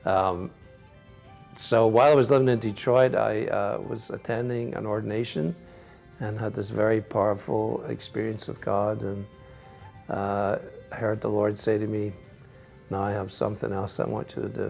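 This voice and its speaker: A middle-aged Scottish man, a priest, answering interview questions in a gentle, reflective way with frequent soft laughs. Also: lowish voice, little pitch movement, moderate pace, creaky, faint music behind. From Scotland.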